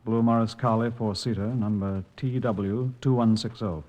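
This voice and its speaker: An actor playing an eager, deferential police sergeant in an old radio drama. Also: male voice, fairly low pitch, fairly even intonation, normal speed, warm tone, lightly acted. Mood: brisk, dutiful. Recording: muffled old radio, long hollow reverb.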